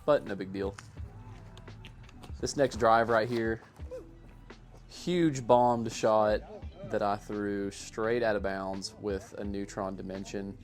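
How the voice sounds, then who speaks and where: A man giving a casual, self-deprecating voice-over commentary on his own amateur sports highlights in a matter-of-fact way. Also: fairly even intonation, takes it slowly, long pauses, faint music behind. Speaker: amateur disc golfer narrating own highlights.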